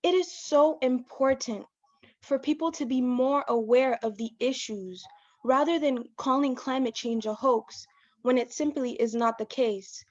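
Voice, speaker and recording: A young woman delivers a persuasive student speech. Her voice is a little high, and she speaks in an earnest, urging way. The recording sounds muffled and lo-fi. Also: unhurried, darkish tone. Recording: lo-fi online call audio.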